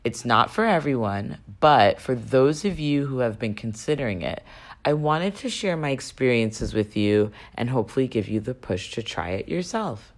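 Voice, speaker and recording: A woman talks alone as the host of her own podcast, her voice a little raspy. She speaks in a chatty, conversational way and often turns to her listeners with questions. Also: rumbling low, lively intonation, normal speed, slight background noise. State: slightly raspy voice. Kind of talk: solo podcast monologue.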